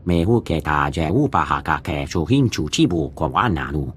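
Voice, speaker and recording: A man voicing a gruff alien who speaks a guttural invented tongue in a video game. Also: rumbling low, animated, moderate pace, slight background noise. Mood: stern. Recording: music behind the voice.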